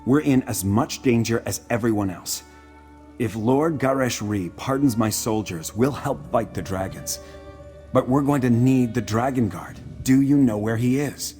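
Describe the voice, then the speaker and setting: An actor playing a pragmatic, persuasive military commander in a video game, speaking in a firm, formal and measured way. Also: male voice, medium pitch, darkish tone, faint music behind, close to an everyday voice. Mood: earnest, firm. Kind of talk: video game dialogue acting.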